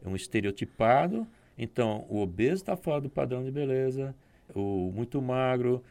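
Gravelly-voiced man explaining calmly. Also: medium pitch, no room sound. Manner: measured, explanatory, with hesitant restarts. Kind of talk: discussion program conversation.

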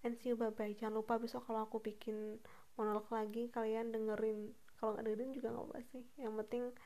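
Young woman just rambling on her podcast, bit high voice, chatty, keeps stopping to fix her words. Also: flat delivery, dark tone. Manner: rambling, self-correcting, chatty; fast-talking. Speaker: podcast host. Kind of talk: spontaneous solo podcast monologue.

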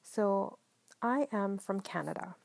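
A woman from the Philippines hosting a solo podcast, talking in a calm, mellow way, partly whispered, with many ums. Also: mid-range voice, takes it slowly, gravelly, no room sound. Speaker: podcast host. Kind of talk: soft-spoken solo podcast monologue.

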